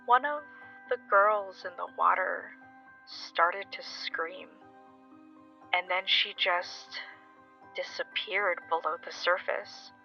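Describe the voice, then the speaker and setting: Shaken young woman, played by an actress, earnest halting account for audio drama, muffled lo-fi with music. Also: fairly low pitch, gravelly, pushed and bright, full character voice. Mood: uneasy. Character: shaken young woman recounting a frightening experience. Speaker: caller telling a story. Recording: dull lo-fi recording, music underneath.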